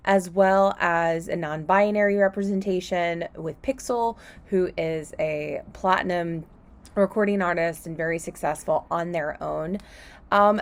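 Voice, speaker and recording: A woman giving an effusive, grateful thank-you speech in informal language. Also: lowish voice.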